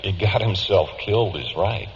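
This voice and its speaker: An actor playing a blunt, sardonic morgue man with a gravelly voice, recounting colloquially in vintage radio drama style, on a dull, echoing recording. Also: low voice, moderate pace, a little hiss or hum, lightly acted. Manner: sardonic, matter-of-fact, colloquial. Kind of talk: vintage radio drama acting, clipped report.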